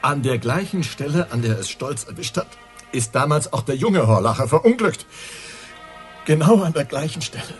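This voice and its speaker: An actor playing a matter-of-fact police assistant in a radio crime drama, terse and subordinate. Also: male voice, a little high, animated, normal speed, faint music behind, natural voice.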